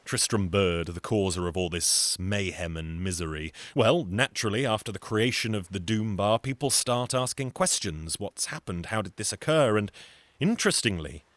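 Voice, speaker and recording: A man's voice: lowish voice, animated, normal speed, a bit bright, no echo. A man telling a folktale as a storyteller, in a dramatic, theatrical narrating style.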